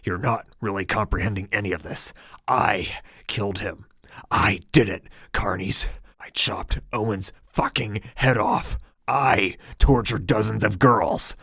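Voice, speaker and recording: A man voicing an unhinged young yuppie who talks in a tense, emphatic, shouting way. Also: fairly low pitch, a little slow, creaky, breathy edge, no echo, clean studio sound, voice pushed up, full character voice. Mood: frantic. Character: unhinged young male yuppie, desperate and intense.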